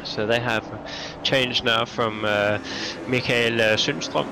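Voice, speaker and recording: A man giving casual, halting live commentary on a sim race for a charity stream, full of restarts and fillers. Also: medium pitch, fairly even intonation, vocal fry, over music, rough recording. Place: lives in Denmark.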